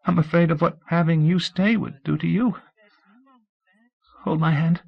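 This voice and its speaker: A man's voice: on the high side, fairly even intonation, a little slow, gravelly, slightly rough, dull audio, voice pushed up, heavily acted. A man reading aloud, voicing a desperate, tearful teenage girl in love, in a strained, pleading voice. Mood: anguished. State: crying.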